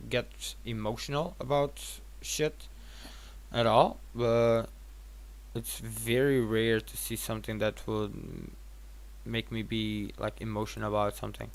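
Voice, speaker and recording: A man streams a video game with slow, unhurried commentary and a noticeably creaky voice at a fairly low pitch. He sounds tired and rambles casually, thinking aloud and swearing now and then.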